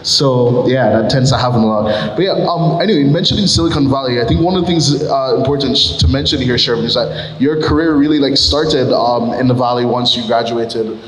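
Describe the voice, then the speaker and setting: A man moderating a live panel, asking questions loudly and quickly with many fillers. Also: mid-range voice, a little hiss or hum. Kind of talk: stage interview questions. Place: large hall, live event. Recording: echoey hall.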